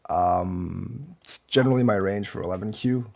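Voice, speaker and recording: A man's voice: low voice, moderate pace, vocal fry, a little mellow. A man with a slight cold and a slightly congested voice, rambling as he commentates his own board game live and critiques the moves. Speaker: go teacher streamer.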